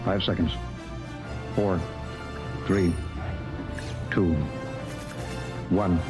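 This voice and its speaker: A man's voice: deep voice, flat delivery, drawn-out words. An actor playing an authoritative, skeptical male spaceship captain in an old-time radio drama. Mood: commanding. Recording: old radio broadcast, music behind.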